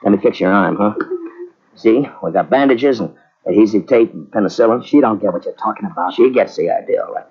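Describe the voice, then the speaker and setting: An actor playing a streetwise wartime soldier who narrates with gruff slang, on an old radio drama recording. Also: male voice, fairly low pitch, brisk, muffled recording. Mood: coaxing. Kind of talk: 1940s radio acting.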